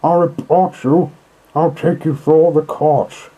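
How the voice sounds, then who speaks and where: A man voicing a hot-tempered male water rat in a storybook, shouting loud, indignant threats. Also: on the high side, steady tone, unhurried, highs cut off, pitched above the performer's own voice. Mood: furious, indignant. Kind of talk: storybook character voice.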